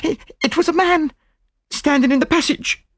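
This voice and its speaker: A man's voice: extremely high pitch, normal speed, no echo, clean studio sound, voice pushed up. A man voicing a timid, startled parlour maid in an audiobook, speaking in a faltering, weak, deferential way. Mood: shaken. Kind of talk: audiobook character voice.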